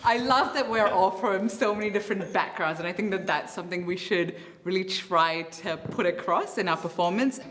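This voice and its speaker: A woman's voice: medium pitch, fairly quick, few pauses, noisy background. A woman narrating a documentary and informally leading a group as its presenter. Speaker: youth programme leader and presenter.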